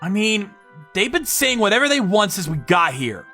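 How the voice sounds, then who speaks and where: A woman's voice: medium pitch, big pitch swings, moderate pace, sharp tone, faint music behind, exaggerated voice. A woman voicing an earnest young male CEO in a manga story dub, polite, hesitant and apologetic. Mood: uneasy.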